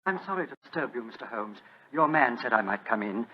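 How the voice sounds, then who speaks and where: An actor playing a deferential servant, apologetic and hesitant but persistent, in 1940s radio style. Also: male voice, mid-range voice, lively intonation, fairly quick, lightly acted. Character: deferential male English servant, meek but persistent messenger. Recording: noisy, dull old radio recording.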